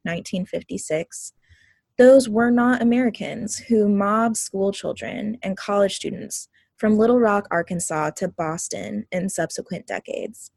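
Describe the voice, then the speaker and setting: Woman, gravelly, dry sound, measured essay reading. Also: medium pitch, a little mellow, no room sound. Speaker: reader at a group reading.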